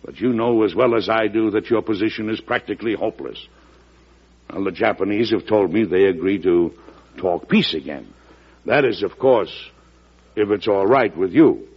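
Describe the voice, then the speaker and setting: An actor with an American accent playing a shrewd, genial, avuncular president in an old-time radio drama, in a deliberate storytelling manner. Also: male voice, lowish voice, husky. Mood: firm, persuasive. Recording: dull lo-fi vintage radio broadcast.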